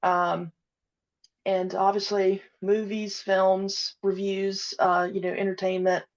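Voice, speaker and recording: A woman's voice: mid-range voice, flat delivery, slow, muffled recording. A woman being interviewed about her podcast, talking casually and hesitantly with many "uh" and "um" fillers. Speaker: podcast guest, audio drama creator.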